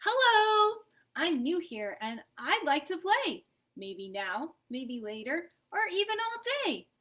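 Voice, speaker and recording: A woman's voice: high voice, sing-song, slow, a bit bright, pitched above the performer's own voice, heavily acted. A woman voicing a shy, hopeful little boy who is a peanut butter character in a storybook, chanting his plea in a sing-song rhyme.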